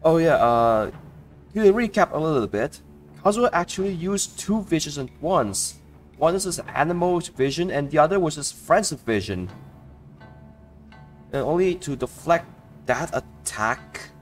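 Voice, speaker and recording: A man's voice: on the high side, lively intonation, measured pace, long pauses, soft background music. A man giving casual gameplay commentary as a streamer, offhand and reacting aloud. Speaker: game streamer.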